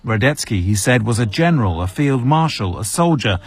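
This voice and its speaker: A man reporting as a news correspondent in a measured, scripted narration. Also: medium pitch, animated, breathy edge.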